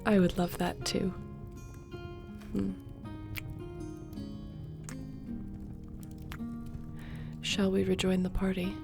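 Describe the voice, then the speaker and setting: Court mage for fantasy roleplay, female actor: affectionate, unhurried, mid-pitched with marked vocal fry. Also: completely flat delivery, over music. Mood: tender, playful. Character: loyal, affectionate young sorceress, the court mage. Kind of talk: fantasy audio roleplay acting.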